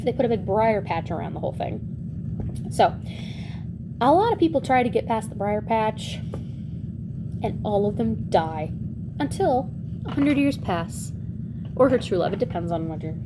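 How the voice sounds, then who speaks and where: A woman with a fairly high voice retells a fairy tale in a loose, conversational way. She drops in wry, chatty asides. The recording has clear background noise. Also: animated, normal speed, long pauses. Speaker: storyteller.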